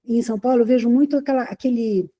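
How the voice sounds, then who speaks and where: A woman from Rio de gives a conversational lecture to an audience. Her voice is fairly high but very dark and mellow, with a husky edge. She keeps checking in with rhetorical questions as she speaks. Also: fast talker. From Rio de Janeiro, Brazil.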